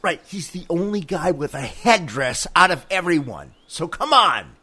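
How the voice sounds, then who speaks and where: A man's voice: on the high side, very animated, measured pace, pushed and bright, husky, full character voice. An actor playing a pushy, patronizing male producer in a comedy sketch. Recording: faint music behind.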